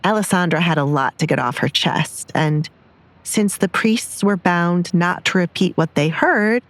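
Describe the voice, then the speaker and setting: A woman narrates a scripted drama. Her pitch is on the low side, and the sound is dry, with no room on it. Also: measured pace, dry recording.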